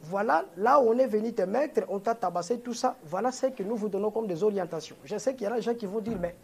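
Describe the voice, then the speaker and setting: A man's voice: sits high, quick pace, creaky, a little mellow, husky. A man with a Congolese French accent making a statement at a press conference as a political movement leader, earnest and often correcting and repeating himself. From DR Congo, Kongo Central.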